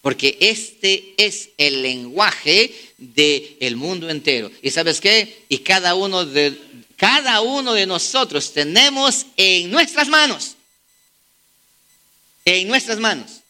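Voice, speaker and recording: Bright, high-pitched man preaching emphatically. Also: very animated, takes it slowly. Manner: emphatic, rhetorical questions to the congregation. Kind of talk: sermon.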